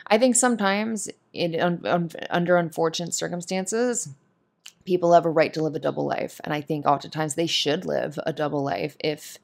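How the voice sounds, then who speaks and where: Woman w a kinda low voice giving comforting advice, casual but earnest n emphatic, pretty opinionated. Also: steady tone, normal speed, vocal fry. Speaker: voice actor giving advice to a listener. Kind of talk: casual, comforting advice monologue in an ASMR roleplay.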